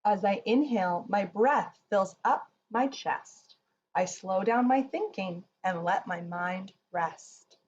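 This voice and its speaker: A woman reads a rhyming children's picture book at a slow, measured pace. Her storybook delivery is soothing. The recording is a bit muffled, with faint noise underneath. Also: medium pitch, darkish tone.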